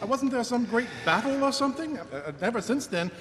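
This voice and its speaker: An actor playing an earnest, naive know-it-all member of a secret society in comic radio theatre. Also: male voice, extremely high pitch, expressive, quick pace, background noise. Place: stage in a hall. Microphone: stand microphone. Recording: echoey live stage hall.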